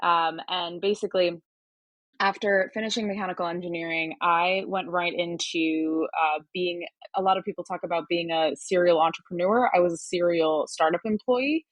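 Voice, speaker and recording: A young woman with an American accent, originally from Turkey, chatting as an interview guest. Her voice sits on the low side, and she talks in loose, looping sentences full of ums and restarts. The sound is close and dry. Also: steady tone, moderate pace, no room sound. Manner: chatty, many fillers and restarts. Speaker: podcast guest, hardware startup advisor. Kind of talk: casual interview conversation.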